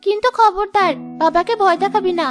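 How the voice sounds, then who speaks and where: A woman's voice: extremely high pitch, fairly quick, soft background music. A woman voicing a fearless, childlike little village girl in a cartoon fairy tale, affectionate and plain-spoken. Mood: affectionate scolding.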